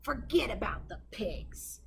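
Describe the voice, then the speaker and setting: A woman voicing a sly, starving male storybook wolf in a breathy, furtive whisper. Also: fairly high pitch, expressive, takes it slowly, full character voice. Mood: sly, hushed. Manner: furtive, smooth-talking.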